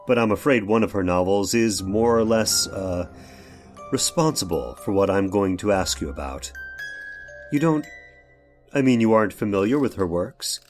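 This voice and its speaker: An actor playing a courteous, ailing inventor of artificial limbs in a radio horror drama, speaking in a halting, rambling, genteel way. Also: male voice, on the low side, expressive, faint music behind, lightly acted. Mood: polite, uneasy. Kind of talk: old time radio horror drama acting.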